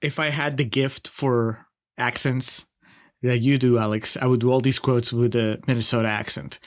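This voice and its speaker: A man's voice: mid-range voice, level tone, normal speed. A man with a Peruvian accent co-hosting a casual film review podcast, wry and sarcastic, often breaking into laughter. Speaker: podcast co-host.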